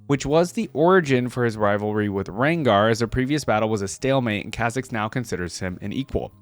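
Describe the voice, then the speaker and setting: A man's voice: medium pitch, no room sound. Casual young man, very dry sound. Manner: informal, slangy. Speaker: reaction video host. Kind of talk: casual commentary, reading wiki text aloud.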